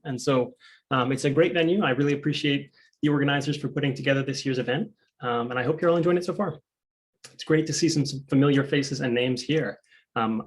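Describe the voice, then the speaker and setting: A man who is a PhD student, starting a conference presentation over a video call in a friendly, chatty way with many fillers. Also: medium pitch.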